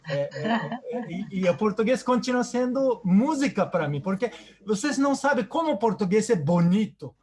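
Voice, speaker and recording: A man with a Japanese accent, a native Japanese speaker, hosting and giving effusive closing remarks in a halting way with many repeated words. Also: high-pitched, big pitch swings, darkish tone. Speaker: host. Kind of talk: closing remarks on a video call.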